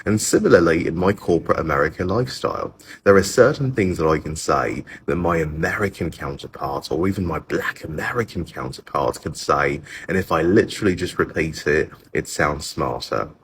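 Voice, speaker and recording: Deep-voiced British-accented man. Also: brisk, hardly any pauses, a little hiss or hum. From Nigeria. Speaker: clip speaker. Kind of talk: casual social media monologue.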